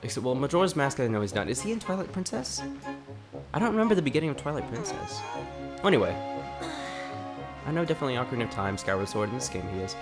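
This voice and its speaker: A man's voice: mid-range voice, very animated, fast talker, long pauses. A man in his twenties with a cold, rambling through a story while casually commenting on his gameplay. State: has a cold. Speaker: let's play host. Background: game music.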